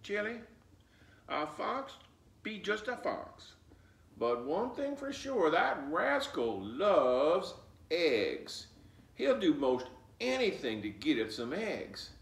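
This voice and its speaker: A man's voice: fairly high pitch, wildly swooping pitch, takes it slowly, vocal fry, pitched above the performer's own voice, heavily acted. A man voicing a bossy, knowing old grandmother in a children's storybook, folksy and emphatic. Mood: cautioning. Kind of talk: children's storybook read-aloud. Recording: roomy echo, distant mic.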